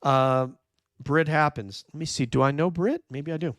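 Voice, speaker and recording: A hoarse man, nearly losing his voice, hosting a true crime livestream, talking emphatically and answering viewer chat. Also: on the high side, moderate pace, fairly bright tone, no echo, studio quality. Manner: emphatic, repeats "no question", thinks aloud with fillers. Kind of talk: live commentary reading viewer chat.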